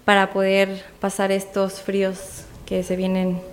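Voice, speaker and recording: A woman's voice: medium pitch, monotone, a little slow, a little hiss or hum. A woman from Mexico with a Mexican accent, speaking conversationally as a guest in a radio interview. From Guanajuato, Mexico. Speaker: radio guest promoting a charity campaign.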